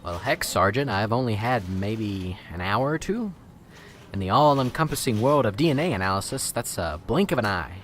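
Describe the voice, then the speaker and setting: A man's voice: lowish voice, animated, moderate pace, a bit bright, slight background noise. A man voicing a chatty, wisecracking police lab technician in an amateur radio drama. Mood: wry.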